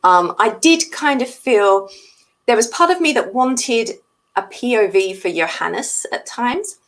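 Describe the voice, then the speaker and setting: A woman's voice: a little high, expressive, a little slow, a bit bright. A woman in a casual book discussion, thinking aloud as a co-host with hesitant phrasing.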